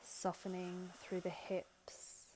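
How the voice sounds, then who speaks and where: A woman leading a guided meditation in gentle, soothing, unhurried short phrases with long pauses. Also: fairly low pitch, completely flat delivery, a little hiss or hum, poor audio. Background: background music.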